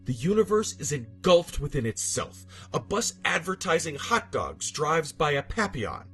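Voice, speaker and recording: A man's voice: a little high, big pitch swings, moderate pace, a bit bright. A man giving a dramatic scripted narration of absurd fiction, with a theatrical, emphatic delivery. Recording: music bed behind narration. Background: background music.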